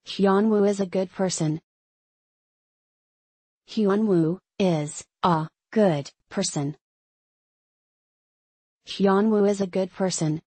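Woman, very slow careful phrase reading, breathy tone, dry studio sound. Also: medium pitch, mellow, dry recording. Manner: careful, clear enunciation for learners. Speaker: English phrase reader.

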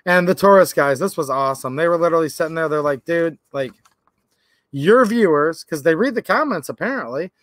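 A man in his thirties hosting a livestream, talking casually and earnestly to his viewers, his voice a little raspy from cold and pollen. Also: a little high, animated, fairly quick, fairly bright tone, no echo. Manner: earnest, rambling, repeats "literally" and "like". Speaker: channel host. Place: indoor workshop or garage.

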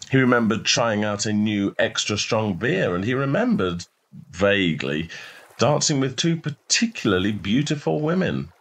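Low-voiced male story narrator. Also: vocal fry. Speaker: narrator reading a short story.

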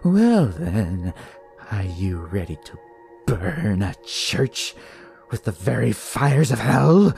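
A man's voice: on the low side, takes it slowly, gravelly, faint music behind, heavily acted. Man playing an eager, sinister teenage cultist boy. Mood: eager, menacing. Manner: excitable, salivating.

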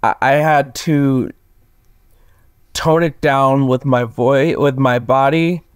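A young man, a tenor with a voice lowered from just waking, talking casually and haltingly as an actor being interviewed. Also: fairly even intonation, smooth, dry recording, clean studio sound. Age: young adult. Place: plain small room indoors, video call.

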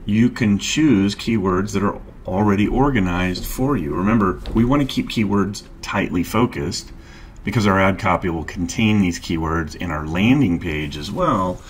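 Man, middle-aged, very low voice, calm explanatory tutorial narration, desk mic, faint background hiss. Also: normal speed. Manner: calm, explanatory, walks through clicks step by step. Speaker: marketing instructor. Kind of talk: screen-share tutorial narration.

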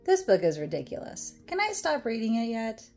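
A woman's voice: a little high, big pitch swings, a little mellow, soft background music. A woman reading a children's picture book aloud in a playful, theatrical way, with exaggerated expression. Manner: playful, exaggerated mock dismay, dramatic drawn-out words and whispers. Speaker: storytime reader.

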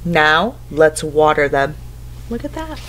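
A woman's voice: low-pitched, big pitch swings, slow pace, a bit bright, a little hiss or hum, rough recording. Woman teacher reading aloud to young children. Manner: instructive, simple phrasing for children, often asking questions. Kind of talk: picture-book read-aloud with asides and questions to young listeners. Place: indoors at home, living room couch.

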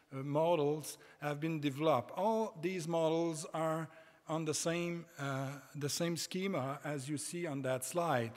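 A middle-aged French Canadian man speaks at a podium microphone, his voice pitched a little high for a man. He lectures haltingly, with many pauses and restarts. Long reverb from the large conference hall trails his words. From Quebec, Canada.

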